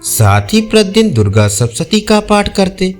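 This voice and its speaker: A man's voice: high-pitched, big pitch swings, moderate pace, soft background music. A man narrating a scripted audio folk tale as a storyteller.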